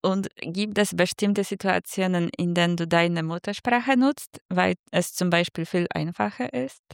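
A woman's voice: lowish voice, measured pace, creaky, clear, resonant tone, dry recording, studio quality. A woman co-hosting an interview, asking short upbeat questions. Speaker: podcast co-host.

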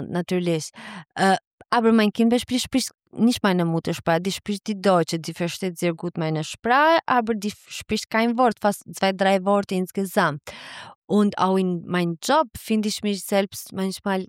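A woman's voice: medium pitch, a little slow, smooth, dry recording, clean studio sound. A woman from Albania, a midwife whose first language is Albanian, answering interview questions cheerfully in German with many restarts and laughs. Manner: cheerful, laughs often, non-native German with frequent restarts.

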